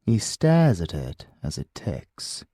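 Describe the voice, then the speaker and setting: A British man narrating a dark audiobook in a slow, deliberate way. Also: wildly swooping pitch, husky, dry recording, clean studio sound. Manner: slow, low and deliberate storytelling.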